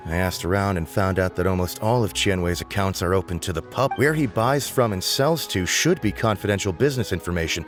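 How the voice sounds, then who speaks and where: A man's voice: deep voice, brisk, few pauses, faint music behind, natural voice. A man playing a cocky, eager young informant in a video game, chatty, showy and boastful. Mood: reporting, animated.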